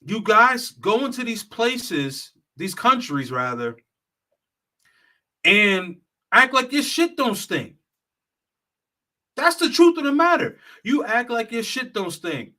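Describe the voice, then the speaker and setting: Blunt, bright-voiced man lecturing hard. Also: very high-pitched, sing-song, slow pace, long pauses. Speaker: travel consultant and vlogger. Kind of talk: heated straight-talk monologue.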